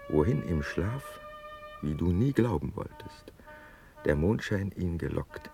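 A witty, loyal young count at a Prussian court in a classical radio drama. His voice is deep and creaky, with wry, declamatory verse that rises and falls widely. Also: full character voice. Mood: hushed, amused.

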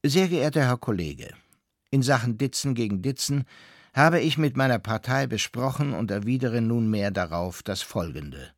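A man's voice: mid-range voice, expressive, normal speed, dry recording. An actor playing a formal male divorce lawyer who reads out a legal letter in stiff official phrasing.

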